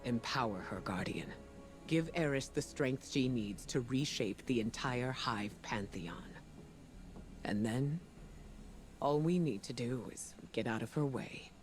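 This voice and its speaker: A man's voice: medium pitch, lively intonation, unhurried, lightly acted. A man voicing a grave, steadfast ally who gives a solemn, earnest mission briefing in a video game. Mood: solemn urgency. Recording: studio voice under prominent music.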